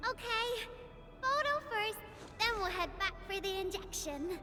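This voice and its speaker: A woman voicing an excitable, whiny, adoring little child in a video game, with an eager, pouty way of talking. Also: extremely high pitch, measured pace, full character voice. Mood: excited, delighted. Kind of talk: video game character acting. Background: music.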